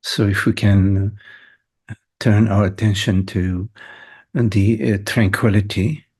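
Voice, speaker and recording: Male meditation teacher, lowish husky voice, very slow delivery, short phrases, long pauses. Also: fairly even intonation, a little mellow. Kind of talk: guided meditation.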